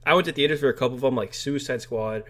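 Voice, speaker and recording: A man's voice: mid-range voice, fast, sharp tone. A man speaks as a podcast co-host in a casual chat style. He is blunt and slangy, giving opinions in short conversational bursts. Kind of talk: casual film review chat.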